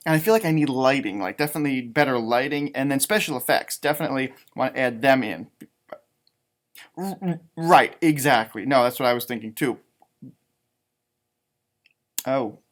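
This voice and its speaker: A man's voice: mid-range voice, moderate pace, pauses often. A man who teaches, doing a children's storybook read-aloud with playful, rambling, chatty asides. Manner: animated, rambling, playful commentary.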